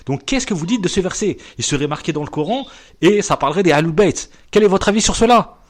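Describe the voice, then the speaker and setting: A man's voice: high voice, animated, fast. A man hosting a podcast interview, hesitant with many fillers, pressing his guest with questions. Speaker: podcast host.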